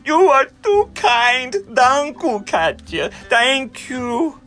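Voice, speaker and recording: A man's voice: very high-pitched, very animated, extremely slow, bright, forceful tone, voice pushed up, heavily acted. A man voicing an emotional, grateful village mother in a storybook, exclaiming shrilly and tearfully. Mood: tearful gratitude.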